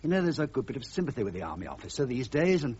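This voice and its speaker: An actor playing a polite but persistent newspaper reporter in a radio drama, persuasive and coaxing, on a muffled recording. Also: male voice, mid-range voice, big pitch swings, racing, a little mellow, slight background noise, natural voice. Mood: earnest, sympathetic.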